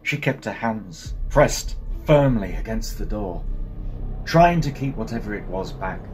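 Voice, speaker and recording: A man's voice: on the low side, animated, unhurried, darkish tone. A man narrating a dramatic scripted horror story in a theatrical, suspenseful manner. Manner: theatrical, suspenseful delivery with swelling intensity. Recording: music behind the voice. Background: music.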